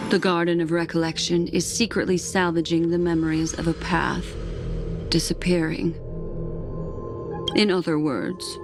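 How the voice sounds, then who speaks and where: A poised, secretive memory keeper from a video game, with a fairly low voice. The speech is measured and formal, with music clearly playing behind it. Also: female voice. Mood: composed. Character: composed, secretive female keeper of cosmic memories.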